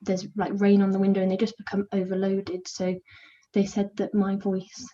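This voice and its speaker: A young woman with a soft, soothing voice and a mellow, warm tone talks in a quiet, introverted way. She answers casually and hesitantly, filling gaps with many small fillers. The sound is dull and lo-fi, like audio from a video call. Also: medium pitch, monotone, normal speed. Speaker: volunteer mentor.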